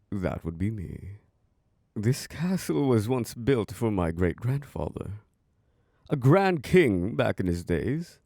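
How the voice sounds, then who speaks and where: A man voicing an ancient, charming and possessive male vampire lord who hosts a masquerade, speaking in a slow, seductive, close-mic ASMR roleplay with soft chuckles. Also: no room sound, exaggerated voice. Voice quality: low gravelly voice. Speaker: castle host.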